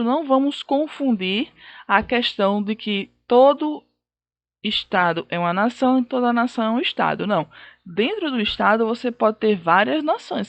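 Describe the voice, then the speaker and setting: A woman's voice: medium pitch, dry recording, highs cut off. A woman with a Brazilian accent giving a recorded history lesson, explaining in a didactic way and repeating key points. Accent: Brazilian Portuguese. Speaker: teacher.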